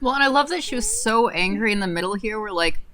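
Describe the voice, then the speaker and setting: Young woman, stream co-host. Medium pitch, casual, halting conversational delivery. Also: expressive, a bit bright. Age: young adult. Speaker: co-host of a livestream. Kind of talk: casual livestream chat. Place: bedroom, indoors. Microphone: desk microphone on a boom arm.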